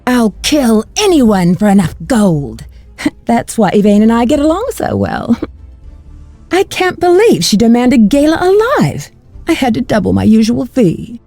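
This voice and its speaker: An actress playing a flirtatious, cruel mercenary villainess in a video game, taunting and gloating theatrically. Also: female voice, on the high side, big pitch swings, a little slow, warm tone, dry recording, soft background music, exaggerated voice. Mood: gloating, menacing.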